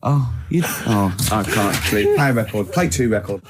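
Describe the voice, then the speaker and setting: A man's voice: on the low side, expressive, a little fast, crowd noise. A man with an English accent, a radio co-host trading casual comic banter and riffing in a mock-earnest, teasing way.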